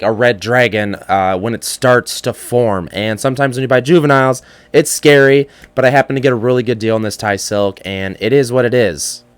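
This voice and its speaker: A hoarse man with a cold hosts a casual solo podcast, chatty and excitable. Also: mid-range voice, no room sound. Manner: chatty and excitable, with frequent fillers. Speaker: podcast host.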